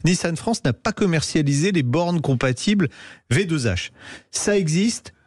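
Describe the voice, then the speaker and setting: A man's voice: a little high, expressive, normal speed, darkish tone, slightly rough, dry recording, clean studio sound. A man hosting a radio talk show about cars, explaining things at length in a chatty way with many fillers. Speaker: radio host, car specialist. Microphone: studio microphone.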